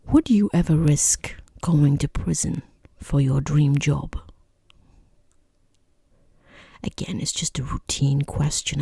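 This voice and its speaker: A person voicing a rude, condescending boss in a soft-spoken ASMR roleplay, with a breathy half-whisper, hesitant pauses and dryly mocking, falsely polite understatement. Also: mid-range voice, dry recording, clean studio sound, exaggerated voice. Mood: probing, dry. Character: rude, condescending boss conducting a job interview.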